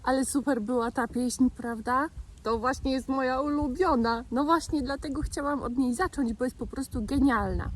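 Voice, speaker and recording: A woman's voice: sits high, moderate pace. A woman hosting a children's show, speaking playfully and directly to young listeners in simple words. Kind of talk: playful scripted chat for children.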